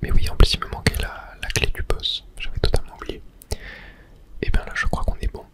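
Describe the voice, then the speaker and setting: Whispered ASMR gaming commentary, hushed voice. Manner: hushed whispering, unhurried narration of own play. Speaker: gaming ASMR creator and host. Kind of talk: ASMR whispered video game let's play commentary.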